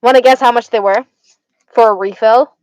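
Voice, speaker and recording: A woman's voice: fairly high pitch, fairly even intonation, moderate pace, darkish tone, dry recording, rough recording. A young woman with an Arizona accent, chatting casually with viewers on a stream; she stutters, slurs her words and repeats herself. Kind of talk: casual chat.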